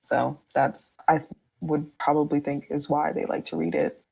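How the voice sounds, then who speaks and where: A woman with a low, husky voice and plenty of vocal fry talks casually on a podcast. She explains her views candidly, in loose, informal phrasing. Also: steady tone, normal speed, darkish tone, poor audio.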